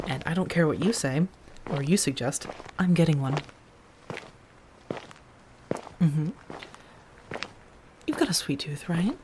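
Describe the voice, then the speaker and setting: A low-voiced, affectionate female vampire, acted by a woman, chats softly to her roommate in an ASMR audio roleplay. She is gentle and teasing, slipping into hushed asides. Faint background noise sits behind the voice. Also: moderate pace, close to an everyday voice. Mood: playful, warm. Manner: gentle, chatty, teasing, with soft murmurs and breathy asides. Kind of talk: soft-spoken ASMR roleplay.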